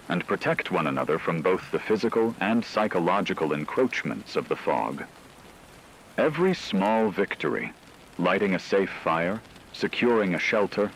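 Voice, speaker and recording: A man playing a grave, steadfast emergency radio anchor in an apocalypse drama, speaking in a measured, formal way. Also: sits low, fairly bright tone, a little hiss or hum, poor audio. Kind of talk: scripted dramatic broadcast narration.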